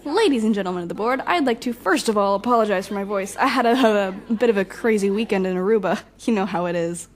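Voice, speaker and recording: A woman playing a smooth-talking young supervillain in an audio drama, composed, wry and mock-polite. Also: medium pitch, expressive, fast, hardly any pauses, a faint crowd, lightly acted. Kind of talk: audio drama villain acting.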